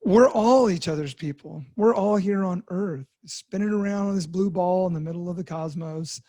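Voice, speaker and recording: A man in his fifties speaks in a candid personal monologue, stopping and restarting often as he searches for words. His voice is mellow and sits a little high for a man. The recording is dry and close. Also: no room sound. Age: 50s. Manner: halting, with many fillers and restarts. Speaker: podcast host sharing recovery story.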